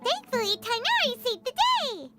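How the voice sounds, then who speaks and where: A woman voicing a tiny, excitable fairy-like game companion with a squeaky, childlike and chatty voice. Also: moderate pace. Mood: excited, curious. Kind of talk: English video game voice acting. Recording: loud game music behind.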